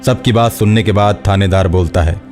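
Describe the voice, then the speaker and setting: A man's voice: fairly low pitch, a little fast, a little mellow, faint music behind. A man narrating a scripted horror story.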